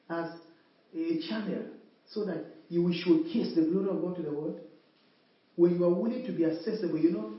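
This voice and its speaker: Woman preaching in an echoey hall. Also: mid-range voice. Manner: repetitive call-and-response preaching, emphatic refrains. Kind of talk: sermon. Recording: echoey hall, distant mic, dull sound.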